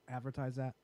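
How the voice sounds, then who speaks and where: A man with a raspy voice in casual, profane podcast chat, rambling and often restarting his sentences. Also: medium pitch, a little mellow, dry recording. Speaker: podcast co-host.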